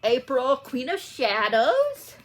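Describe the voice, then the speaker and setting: A woman's voice: high-pitched, huge pitch swings, takes it slowly, husky, soft background music. A woman talking casually to viewers in a rambling, informal book vlog, often getting sidetracked. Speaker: book channel vlogger.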